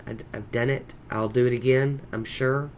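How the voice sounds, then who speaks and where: A congested woman with a croaky voice and a Southern US accent, chatting casually in a folksy, rambling way as she narrates a nail art tutorial. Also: very deep voice, fairly even intonation, moderate pace, darkish tone. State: congested with a sinus infection, sore throat. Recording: noticeable background noise.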